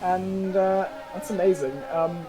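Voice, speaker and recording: A woman's voice: lowish voice, fairly even intonation, slow, over music. Chatty woman co-commentating a sim race. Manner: chatty and self-mocking, often laughing between words. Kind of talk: casual race commentary chat.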